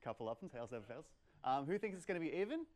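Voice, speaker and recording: A man's voice: a little high, sing-song, racing, darkish tone. Playful young man giving an informal talk. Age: young adult. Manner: playful, conversational, peppered with "like" and "kind of". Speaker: meetup presenter. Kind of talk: informal live lecture with audience questions. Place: medium-sized lecture room, indoors.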